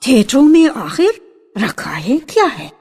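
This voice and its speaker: Earnest, mellow young wife, read by a woman narrator. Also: high-pitched, sing-song, measured pace, slightly rough, full character voice. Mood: prim dismissal. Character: devoted, earnest young wife running a timber trade. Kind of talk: storybook radio reading. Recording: archival radio recording with music.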